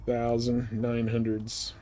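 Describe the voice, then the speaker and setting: A man's voice: medium pitch, completely flat delivery, slow, warm tone, slight background noise. A man chatting casually and informally as he streams a game. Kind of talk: casual chat while playing a space game live stream.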